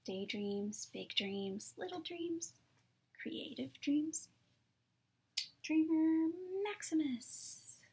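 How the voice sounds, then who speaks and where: A young adult woman reading picture books to children at story time in a soft, hushed, breathy manner. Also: high-pitched, very slow. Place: small room at home, indoors.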